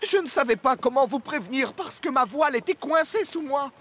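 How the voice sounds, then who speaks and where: A woman's voice: sits high, animated, brisk, fairly bright tone. A woman voicing a small, earnest, timid sailing boat with a childlike manner in a children's cartoon. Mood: shaken.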